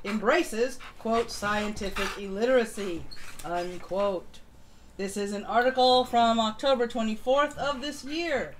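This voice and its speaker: Casting: female live-stream co-host, slightly high voice, casual commentary, faint noise on a home recording. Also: a little slow.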